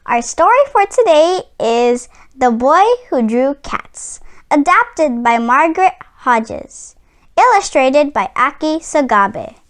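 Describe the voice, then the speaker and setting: A woman reads a storybook aloud for children in a very high voice. Her pitch moves widely and vividly from phrase to phrase. The delivery is expressive and deliberate, clearly that of a storyteller reading from a script.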